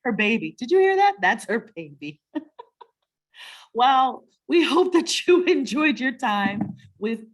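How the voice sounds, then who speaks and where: A woman co-hosting a children's story webinar, chatting casually in a warm, encouraging, motivational way and often checking in with questions. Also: fairly high pitch, very animated, slow.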